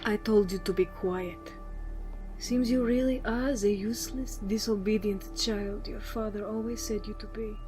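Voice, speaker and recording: Over clear background music, a cold female villain speaks, played by a woman. She is a commanding jailer in an audio drama, middling in pitch, formal and condescending. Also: steady tone, normal speed, close to an everyday voice. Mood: stern, contemptuous.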